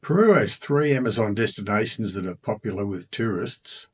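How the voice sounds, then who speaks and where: A man with an Australian accent talking casually about a trip, relaxed and chatty with many ums and uhs. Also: on the low side, moderate pace, loud music behind the voice. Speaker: travel vlogger. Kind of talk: casual travel narration.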